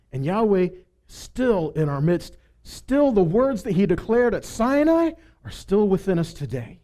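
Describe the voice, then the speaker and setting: A man's voice: sits high, warm tone. A man giving a conversational sermon as a preacher, teaching with rhetorical questions and frequent check-in tags.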